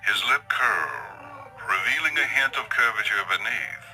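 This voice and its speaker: A man's voice: on the low side, big pitch swings, normal speed, bright, forceful tone, rough recording. A man narrating a story in a dramatic, scripted style. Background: faint music.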